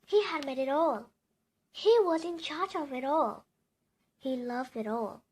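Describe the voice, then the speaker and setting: A woman's voice: high voice, measured pace, a little mellow. A female reader narrating a children's bedtime story aloud.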